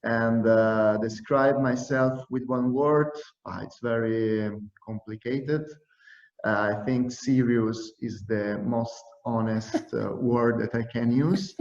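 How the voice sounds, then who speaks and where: A man in his thirties from Italy introducing himself in an online meeting, slow and hesitant. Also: mid-range voice, fairly even intonation, darkish tone. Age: 30s. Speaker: meeting participant. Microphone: video call. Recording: dull echoey video call audio.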